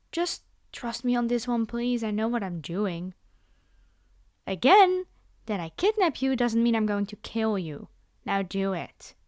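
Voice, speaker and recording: Vampire captor female performer, high-pitched, bossy yet coaxing and condescending, scripted ASMR roleplay addressed to the listener. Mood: commanding, coaxing. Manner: bargaining, condescending, reassuring, speaking directly to the listener. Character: commanding vampire girl, teasing, coaxing captor of a human pet.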